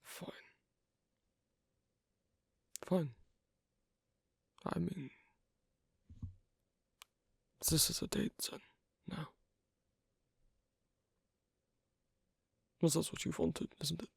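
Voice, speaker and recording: A man playing a guarded, flirtatious loner werewolf in an ASMR roleplay, speaking hesitantly and teasingly in a breathy, husky near-whisper. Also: a little high, measured pace, no room sound, studio quality, exaggerated voice. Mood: reluctant, defiant. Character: gruff, guarded, flirtatious asocial werewolf man on a first date. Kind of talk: ASMR roleplay, intimate one-sided dialogue.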